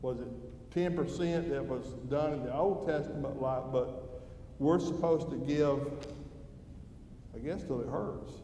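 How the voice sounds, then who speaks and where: A man's voice: medium pitch, measured pace, long pauses, dark tone. An older man giving a church devotional in a folksy, conversational manner, putting questions to his listeners. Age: older, grandfather. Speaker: devotional speaker at a church service. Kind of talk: devotional talk. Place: church hall during an evening service. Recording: roomy, echoing hall with background noise.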